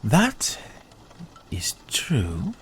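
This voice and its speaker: A man's voice: fairly low pitch, wildly swooping pitch, extremely slow, slight background noise, full character voice. A man playing a possessive, dry-witted vampire in an ASMR roleplay, with a sardonic, commanding manner. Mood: wry, relenting. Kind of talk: ASMR roleplay audio drama.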